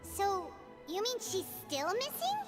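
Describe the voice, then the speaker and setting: A woman's voice: very high voice, big pitch swings, a little slow, heavily acted. A woman voicing a small, childlike, eager and boastful fairy companion in a video game. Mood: concerned. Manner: perky, refers to self in third person. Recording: game music behind the voice.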